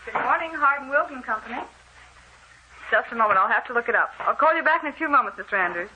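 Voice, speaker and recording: An excitable, formal receptionist in an old radio play. The voice is high and sharp, over a faintly hissy vintage recording. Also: female voice, fast, muffled recording. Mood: businesslike. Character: prim, excitable office receptionist. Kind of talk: 1940s radio drama acting.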